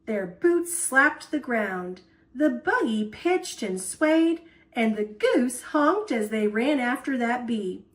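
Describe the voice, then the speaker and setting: Woman, children's storyteller, expressive read-aloud, lively range, unhurried pace, playful emphasis. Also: fairly high pitch.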